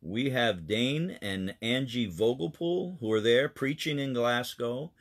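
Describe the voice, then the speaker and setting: A man's voice: mid-range voice, measured pace. A man, a pastor, telling a children's missionary story in an earnest, explanatory way.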